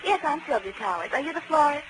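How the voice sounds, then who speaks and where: High, swooping flirty dame. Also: female voice, lively intonation, fast. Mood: flirtatious, cooing. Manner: coy, languid, purring endearments. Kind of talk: 1940s radio detective drama acting. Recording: noisy vintage 1940s radio recording.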